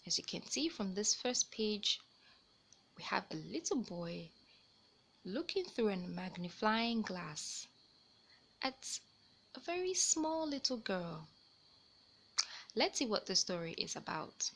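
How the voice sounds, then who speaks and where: A woman hosting a children's read-aloud, telling a storybook tale in an expressive, deliberate way. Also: mid-range voice. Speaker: host reading stories aloud for children.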